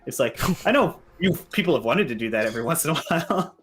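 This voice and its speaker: A man's voice: fairly high pitch, big pitch swings, fast, fairly bright tone, faint music behind. A man talking casually and informally as a co-host on a fan livestream. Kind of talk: casual chat.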